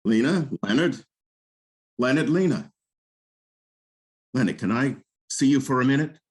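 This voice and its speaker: An earnest young man played by an actor in a script read, with an average-pitched voice. He comes across as a sensible, protective best friend. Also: expressive, unhurried, a little mellow, close to an everyday voice. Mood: friendly. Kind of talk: live dramatic script read.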